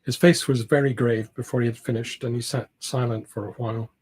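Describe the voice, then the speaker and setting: Slightly husky man reading a novel. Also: mid-range voice, steady tone, fairly quick, darkish tone. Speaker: amateur audiobook reader, library team member. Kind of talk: scripted narration, reading a classic novel aloud.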